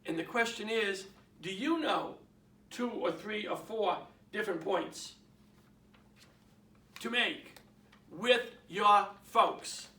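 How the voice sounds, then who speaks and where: A man with a Boston accent coaches real estate agents, pressing his points again and again and throwing questions at his listeners. His voice sits high and sounds bright and forceful. He is recorded from a distance in a roomy, echoing space. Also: slow, long pauses. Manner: emphatic, repeats points, asks the audience questions. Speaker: real estate sales trainer. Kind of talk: motivational sales talk. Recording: roomy, from off the mic.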